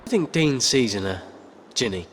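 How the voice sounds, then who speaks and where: A male actor playing a blunt, excitable teenage boy wizard sidekick in a video game, talking informally. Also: medium pitch, normal speed, in a large hall, a little hiss or hum, lightly acted. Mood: gossipy. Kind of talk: scripted cinematic game acting.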